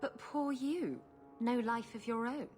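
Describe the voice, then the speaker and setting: An actress playing a brazen, bawdy female rogue in a video game, husky-voiced, sly and teasing. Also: a little high, unhurried, darkish tone, soft background music, close to an everyday voice. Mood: gleeful teasing. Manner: sly, mocking, drawling. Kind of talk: video game character acting.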